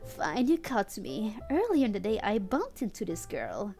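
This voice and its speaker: A woman's voice: medium pitch, wildly swooping pitch, normal speed, mellow, soft background music. A woman streamer doing a comedic live reading of fan fiction, chatting to her audience in asides, with a giggly manner. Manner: giggly, often breaking into laughter while reading.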